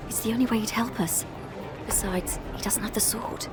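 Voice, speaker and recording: An actress playing a brisk, clever and caring teenage witch in a video game. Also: a little high, fairly even intonation, lightly acted. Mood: purposeful. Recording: music behind the voice.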